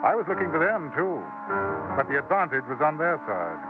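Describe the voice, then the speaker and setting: An actor playing a courteous, coolly dangerous English gentleman adventurer in a 1950s radio western, speaking formally with a British accent on an old broadcast recording. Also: fairly high pitch, sing-song, fairly quick, phone-quality sound, over loud music. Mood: narrating. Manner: formal, polite, precise. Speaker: lead character and narrator.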